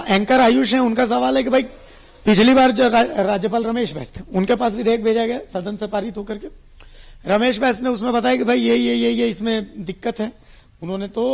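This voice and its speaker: A man's voice: high-pitched, vocal fry. A man reporting live for the news and moderating guests, explaining things in a conversational, repetitive way.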